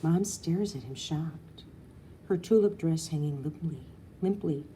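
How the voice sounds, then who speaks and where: A woman reading a children's novel aloud, an expressive narrator with dramatic emphasis. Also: deep voice, unhurried, a little hiss or hum. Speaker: audiobook reader. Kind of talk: expressive storybook narration, first person as a girl.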